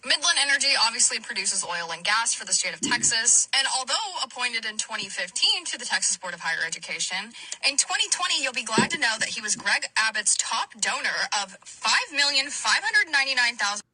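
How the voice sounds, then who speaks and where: A woman narrating an online news clip in a brisk, pointed way. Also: on the high side, big pitch swings, sharp tone, low-quality recording.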